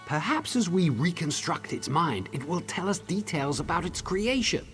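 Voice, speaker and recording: Excitable scholarly researcher, swooping delivery. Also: male voice, on the high side, moderate pace, soft background music. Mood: musing. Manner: exclamatory, expressive, explanatory. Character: eager male researcher of clockwork machines, scholarly, enthusiastic. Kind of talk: video game character dialogue.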